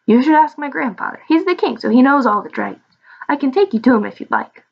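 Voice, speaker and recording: A woman's voice: sits high, expressive, fast, gravelly, highs cut off, full character voice. A woman voicing a small, young male dragon who is friendly, eager, curious and chatty in a storybook reading. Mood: eager, helpful.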